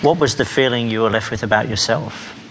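A man's voice: medium pitch, a bit bright, slight background noise. A man hosting a talk show interview, playful and teasing with his guest. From Australia. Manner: playful, teasing, quick to laugh. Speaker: interviewer, host.

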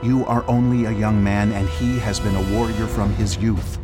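A man's voice: fairly low pitch, little pitch movement, normal speed, music in the background, lightly acted. An actor playing a sober, doubtful older king in a dramatized scripture audiobook.

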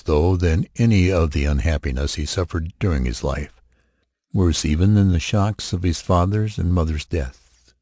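A man narrating a short story in a measured, dramatic storytelling manner. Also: very deep voice, dark tone, slightly rough, dry recording, clean studio sound. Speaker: audiobook narrator.

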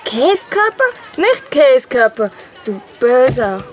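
Shy touchy dutch girl, dutch accent, halting broken german, high n slow, kids audio drama. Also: very animated, exaggerated voice. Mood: offended. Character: shy, touchy Dutch schoolgirl newcomer Joka.

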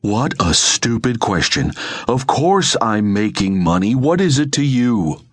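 A male reader acts out a brash, foul-tempered woman comrade in an adventure story. She grumbles and scoffs, her pitch swinging widely. The sound is dry and close. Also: huge pitch swings, takes it slowly, husky, no room sound, full character voice. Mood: irritated. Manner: grumbling, scornful, exclamatory.

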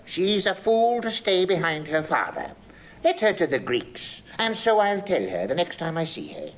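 An actor playing a tetchy, meddling uncle in classical Shakespearean comedy, fussy and peevish in delivery. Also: male voice, high voice, very animated, normal speed, slight background noise. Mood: huffy. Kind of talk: classical Shakespearean stage acting.

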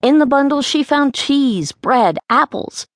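A woman's voice: high-pitched, expressive, measured pace, no echo, clean studio sound. A woman reading a story aloud as an audiobook narrator, in scripted narration.

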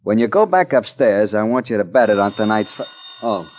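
An actor playing a hurried, street-talking boxer in an old radio drama, speaking in slang. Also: male voice, fairly low pitch, animated, a little mellow, slight background noise, lightly acted. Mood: urgent. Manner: street slang, urgent and secretive. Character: male boxer in his dressing room, hurried, street-talking. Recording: dull 1940s radio recording.

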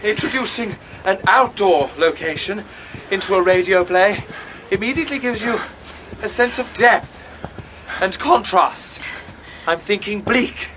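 A man's voice: sits high, a little slow, noisy background. An actor playing a pompous, self-important amateur theatre director in a comic radio drama, grandly enunciating his words. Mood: grandiose.

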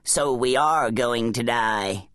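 A woman's voice: extremely low pitch, slow pace, a bit bright, dry recording, clean studio sound, pitched below the performer's own voice, heavily acted. A woman voicing a small, whiny, childish talking creature, an annoying sidekick in an acted audiobook story. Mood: panicky whining. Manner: whining, needling.

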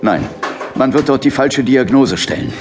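A man's voice: medium pitch, sing-song, moderate pace, vocal fry. An actor playing a brooding, determined male investigator in an audio drama. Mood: worried, insistent. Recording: music behind the voice.

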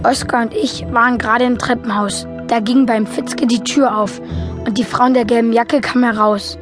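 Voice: medium pitch, steady tone, normal speed, music in the background, lightly acted. A young performer playing a naive boy who narrates a German film audio drama in a simple, matter-of-fact way. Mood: earnest.

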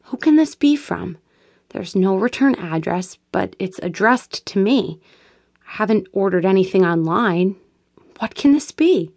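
A woman reading an audiobook gives voice to a frantic, fearful young woman, pitching her speech up and pleading urgently. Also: animated, a little slow, darkish tone. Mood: puzzled.

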